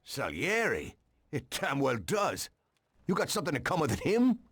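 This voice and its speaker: An actor playing a blunt, sceptical police detective in a video game, with an American accent and a tough, sardonic manner. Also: male voice, on the high side, wildly swooping pitch, normal speed, a bit bright, slightly rough, no room sound, clean studio sound, exaggerated voice. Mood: keenly interested. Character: gruff, sceptical hard-nosed male police detective.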